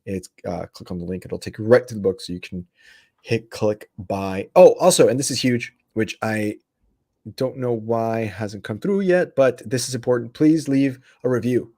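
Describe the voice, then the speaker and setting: A man hosting a podcast in casual chat, upbeat and rambling, with many fillers and restarts as he gives shout-outs. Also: mid-range voice, normal speed, dry recording, clean studio sound. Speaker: podcast host.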